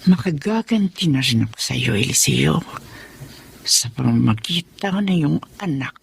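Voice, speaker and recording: An actor playing a vengeful, scheming parent who gloats bitterly in a husky, gravelly radio drama monologue. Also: male voice, medium pitch, sing-song, moderate pace, exaggerated voice. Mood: scheming, gloating.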